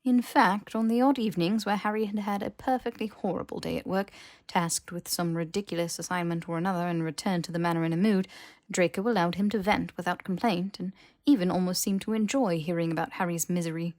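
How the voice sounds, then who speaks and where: A woman's voice: fairly low pitch, fairly even intonation, brisk, a little mellow, no room sound, studio quality. A woman reading scripted fiction as an audiobook narrator.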